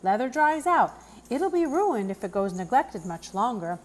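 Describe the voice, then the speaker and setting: A woman performs a gruff, kindly old countryman from a children's storybook. His talk is plain and rustic, pitched high and swinging widely in melody. Faint noise sits behind the voice. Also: very animated, normal speed. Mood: friendly, instructive. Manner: plainspoken, rustic turns of phrase. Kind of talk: children's novel read aloud, character voice.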